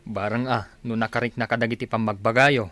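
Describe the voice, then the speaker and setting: A man's voice: mid-range voice, steady tone, fairly quick, fairly bright tone, no room sound, close to an everyday voice. A man with a northern Philippine accent playing a responsible, concerned elder brother in a village comedy radio drama.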